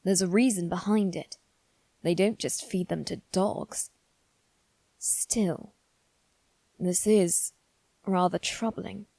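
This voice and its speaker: A woman playing a proud, analytical young aristocratic boy detective in an ASMR roleplay, musing aloud in measured, formal phrases with deliberate pauses. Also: lowish voice, steady tone, creaky, dark tone, dry recording, full character voice. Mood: brooding, analytical. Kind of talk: ASMR roleplay, scripted dramatic monologue.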